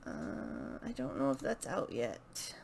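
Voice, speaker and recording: Female vlog host, unscripted and unhurried, gravelly fry at phrase ends, thinks out loud, faint noise behind. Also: extremely low pitch, wildly swooping pitch. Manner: hesitant, thinking aloud. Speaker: book video channel host. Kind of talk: casual unscripted book chat to camera.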